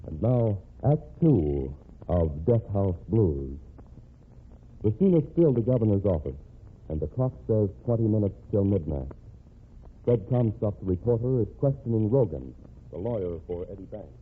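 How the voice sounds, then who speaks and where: Dramatic low-voiced male radio announcer. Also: sing-song, normal speed, narrow, tinny audio. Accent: American. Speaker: announcer and narrator. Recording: thin, band-limited, noisy old radio recording.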